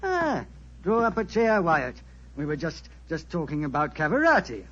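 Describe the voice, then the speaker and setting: An older colonial club gentleman in old radio drama style, chatty and needling. His voice is fairly high and husky, with sardonic familiarity. The dated recording is dull. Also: huge pitch swings, normal speed, exaggerated voice. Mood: gossipy.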